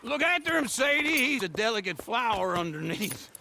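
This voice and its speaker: Casting: cantankerous old freeloading uncle for western game; very high, gravelly delivery, wildly swinging pitch. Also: male voice, very animated, moderate pace, very noisy, full character voice. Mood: teasing. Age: older. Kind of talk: video game western acting.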